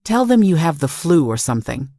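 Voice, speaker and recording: A woman's voice: low-pitched, big pitch swings, brisk, mellow, dry recording, full character voice. A menacing husband in a dramatic audio story, acted out by a woman narrator. He is controlling and accusing, issuing commands in a dry, close recording.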